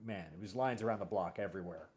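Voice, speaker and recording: A man hosting a casual interview, speaking in a halting way and often rephrasing mid-sentence. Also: sits low, a little mellow. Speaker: host.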